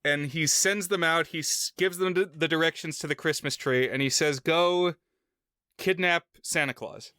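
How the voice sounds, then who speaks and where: Bright, crisp-voiced man recapping a film. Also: fairly high pitch, moderate pace, no echo. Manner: wry, dry humor. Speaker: podcast co-host. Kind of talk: casual chat, recapping a film.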